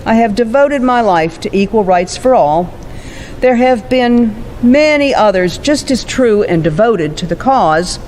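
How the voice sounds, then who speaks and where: A woman's voice: on the high side, animated, a little slow, darkish tone. Woman acting as resolute elderly suffragist, noisy recording. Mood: proud, dignified. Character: aged, resolute woman suffrage campaigner recounting her life. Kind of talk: first-person historical monologue on stage.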